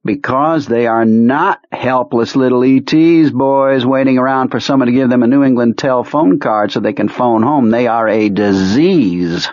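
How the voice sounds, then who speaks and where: A man's voice: mid-range voice, normal speed, few pauses, a little mellow, dry recording, highs cut off, voice pushed up, natural voice. A man reading an audiobook, voicing a fanatical, menacing military commander who harangues his men emphatically. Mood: fierce, zealous.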